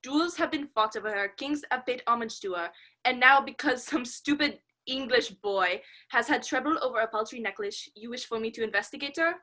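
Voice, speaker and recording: A woman's voice: fairly high pitch, brisk, bright, forceful tone. A woman playing a haughty, stern French police inspector in a radio play, formal and indignant.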